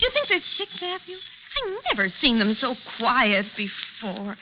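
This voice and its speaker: An actress playing a lonesome, restless, childlike young wife in an old radio drama, with folksy speech and cooing baby talk. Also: female voice, on the high side, sing-song, measured pace. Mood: cooing, coaxing. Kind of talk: 1940s radio drama acting. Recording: noisy, dull old radio recording.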